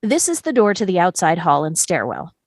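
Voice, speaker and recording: A woman at a play table read, playing a calm, persistent juror who explains and tests evidence in a measured way. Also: on the low side, no echo, clean studio sound, heavily acted. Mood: determined.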